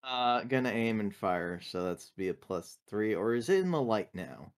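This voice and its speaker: Lowish-voiced man playing a tabletop game. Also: big pitch swings, normal speed, clean tone. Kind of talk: casual game talk.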